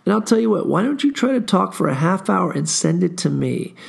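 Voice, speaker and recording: A man's voice: sits high, very animated, brisk, creaky, breathy edge. A man hosting a solo podcast, under the weather with a stuffy runny nose, rambling casually through a profane personal story with lots of fillers. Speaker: podcast host.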